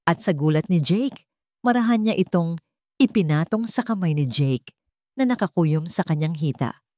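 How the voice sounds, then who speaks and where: Low-voiced woman narrating a drama. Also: lively intonation, mellow, no room sound, studio quality. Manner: slow, solemn storytelling. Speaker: storyteller.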